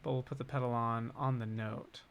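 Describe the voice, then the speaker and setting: A man's voice: medium pitch, fairly even intonation, a little fast, vocal fry, a bit bright, slight background noise. A man hosting a livestream on composing, thinking aloud in a casual, chatty, informal way. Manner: informal and chatty, hums snatches of melody. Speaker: composer hosting a livestream on writing game music.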